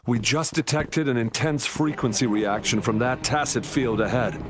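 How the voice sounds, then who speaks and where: A man's voice: mid-range voice, moderate pace, close to an everyday voice. A man voicing an anxious, dutiful soldier in a video game, urgent and deferential, with music behind him. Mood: uneasy, urgent. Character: anxious, dutiful male soldier reporting to his general.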